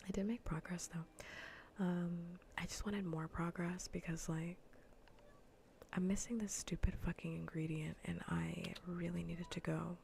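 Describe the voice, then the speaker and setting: Female ASMR game streamer: very creaky, breathy, whisper-prone delivery, loose repetitive chatter, faint background noise. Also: fairly low pitch, darkish tone. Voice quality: breathy, often whispering, gravelly fry. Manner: casual, rambling, repeats phrases. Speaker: livestreamer. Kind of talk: soft ASMR gaming livestream chat. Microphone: close ASMR microphone.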